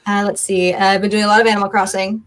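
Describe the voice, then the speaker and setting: Woman guest, raspy and tired from streaming, easygoing, mid pitch. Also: little pitch movement, racing, a little hiss or hum.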